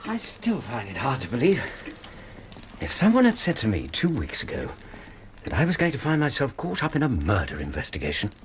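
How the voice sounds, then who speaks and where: An actor playing an earnest gentleman doctor in a radio drama, full of wonder and slightly hesitant. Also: medium pitch, huge pitch swings, fairly quick, a little hiss or hum. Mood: amazed. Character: earnest, amazed male doctor, detective's gentlemanly companion.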